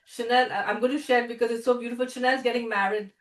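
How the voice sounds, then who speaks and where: A woman hosting an online event over a video call, chatty and quick to laugh, often restarting her sentences. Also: on the high side, fairly even intonation, a little hiss or hum. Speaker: host and founder. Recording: echoey room, distant from mic.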